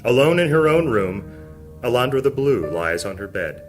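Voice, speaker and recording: A man narrating a high fantasy audio drama in a measured, solemn manner. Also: sing-song, over music, close to an everyday voice.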